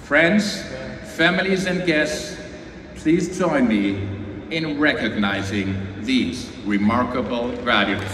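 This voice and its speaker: A man presiding as university chancellor, giving a slow, measured, ceremonial commencement address. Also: mid-range voice, lively intonation. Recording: echoey hall from far off. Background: ambient crowd noise.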